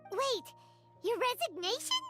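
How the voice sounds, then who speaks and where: Squeaky, chirpy little fairy companion. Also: female voice, very animated, a little slow, fairly bright tone, heavily acted. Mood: curious. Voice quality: squeaky, childlike. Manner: speaks of herself in the third person, exclaiming. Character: tiny childlike flying fairy companion, chirpy, blunt, curious. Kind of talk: animated video game voice acting. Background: music.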